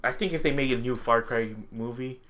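A man's voice: medium pitch, fast talker, fairly bright tone. A man co-hosting a casual podcast chat about movies and games, talking informally with slang and free swearing. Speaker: podcast co-host.

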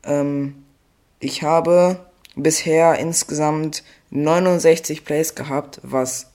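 A man's voice: fairly high pitch, steady tone, slow, a little mellow. A teenage boy hosting a solo podcast, rambling playfully, correcting himself and losing his train of thought. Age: teens. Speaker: podcast host.

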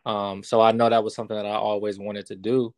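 A man in his thirties, a real estate investor, answering interview questions in a relaxed, casual way with many fillers. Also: lowish voice, quick pace, dry recording. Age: 30s.

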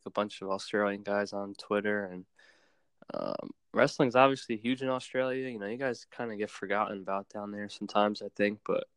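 A man hosting a podcast in casual chat, relaxed and loose, with plenty of fillers and restarts. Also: fairly low pitch, smooth, no room sound. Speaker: podcast host.

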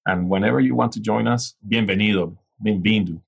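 Venezuelan man, low husky rasp, reflective podcast guest. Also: fairly quick. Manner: reflective, frequent restarts and "you know". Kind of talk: casual interview conversation.

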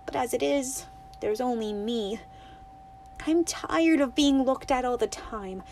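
A woman's voice: sits high, slow, a little mellow. A woman reading a story aloud voices a restless, spirited young girl who talks to a toad, by turns pleading and defiant. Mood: wistful.

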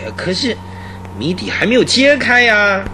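Doubting police captain, radio drama: high-pitched, very animated, sighing and impatient. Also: male voice, normal speed. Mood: impatient, doubtful. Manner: flustered, sighing, hesitant interjections. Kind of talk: old-time Chinese radio drama acting. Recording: faintly noisy radio drama recording.

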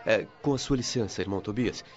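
An actor playing a courteous, modest male guide speaking softly in a radio drama. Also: fairly low pitch, fast, highs cut off, close to an everyday voice. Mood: gentle. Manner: soft-spoken, courteous, humble.

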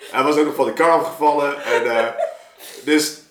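A man telling anecdotes in an informal, animated way, as in a casual podcast chat. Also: fairly high pitch, normal speed. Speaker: podcast guest, artist telling of a project. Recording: roomy, echoing room sound.

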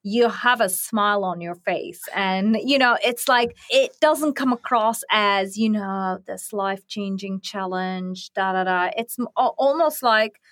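A woman's voice: mid-range voice, unhurried, hardly any pauses, fairly bright tone, no room sound, clean studio sound. A woman hosting an interview podcast, enthusiastic and affirming toward her guest. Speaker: podcast host.

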